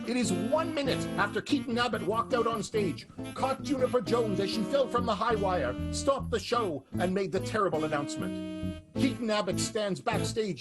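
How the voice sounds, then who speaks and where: Guy narrating a radio drama, wry announcer style, high for a man, clear guitar music under him. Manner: theatrical, wry announcer delivery. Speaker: narrator and host. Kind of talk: improvised radio play narration.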